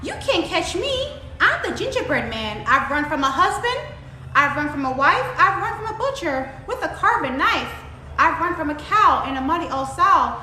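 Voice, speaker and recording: A woman's voice: high voice, very animated, moderate pace, pushed and bright, roomy sound, voice pushed up, exaggerated voice. A woman voicing a cocky, taunting little gingerbread man from a storybook in a boastful sing-song chant.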